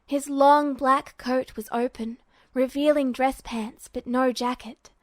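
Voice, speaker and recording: A woman's voice: sits high, a bit bright, dry recording. A woman reading a scripted story aloud as narrator.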